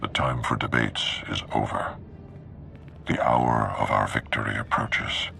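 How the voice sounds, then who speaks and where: Casting: commanding Jedi master, game cutscene. Very deep, bright and forceful, measured oratory. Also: male voice, fairly even intonation, full character voice. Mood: rousing. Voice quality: gravelly. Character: commanding, serene old Jedi master with stubborn faith. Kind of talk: cinematic video game acting.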